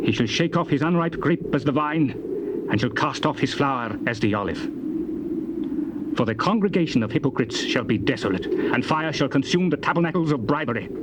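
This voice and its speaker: An actor playing a stern, accusing biblical elder, declaiming rebukes in a theatrical scripture dramatisation. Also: male voice, a little high, natural voice. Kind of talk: dramatised King James scripture, theatrical recitation. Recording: noisy old cassette tape.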